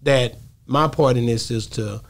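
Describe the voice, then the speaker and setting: A man's voice: medium pitch, measured pace. A middle-aged man hosts a casual podcast chat, informally repeating phrases and checking in with the listener. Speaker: podcast host. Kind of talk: casual, animated interview chat. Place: small podcast studio. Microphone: close studio microphone on boom, headphones.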